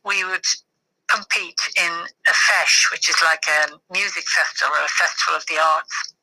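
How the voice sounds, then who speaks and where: Irish woman with a very bright, close voice. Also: fairly low pitch, steady tone, moderate pace, breathy edge, no echo, rough recording. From west of Ireland. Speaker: podcast guest. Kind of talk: reflective personal interview.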